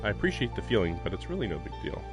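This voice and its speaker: A man's voice: fairly low pitch, very animated, rapid-fire. A man live streaming a visual novel, reading its text aloud with casual, informal commentary. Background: faint game music.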